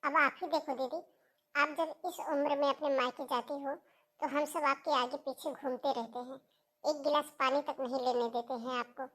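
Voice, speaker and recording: A woman voicing a kind, reasonable female relative in a cartoon family drama, with a gentle, concerned and polite manner. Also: high-pitched, fairly even intonation, moderate pace, rough recording. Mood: gently reproving.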